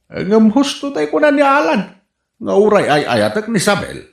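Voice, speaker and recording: Man acting a wildly animated Ilocano uncle. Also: sits high, vocal fry, full character voice. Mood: smitten, giddy. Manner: theatrical, drawn-out, swooping. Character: lovesick, excitable middle-aged village uncle. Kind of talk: Ilocano radio comedy drama acting. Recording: roomy, reflective sound.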